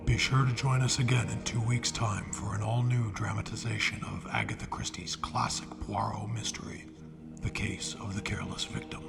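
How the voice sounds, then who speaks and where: Guy announcer reading radio show credits, hushed breathy lowish voice, music under it. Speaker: program host, announcer. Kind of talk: scripted closing credits announcement.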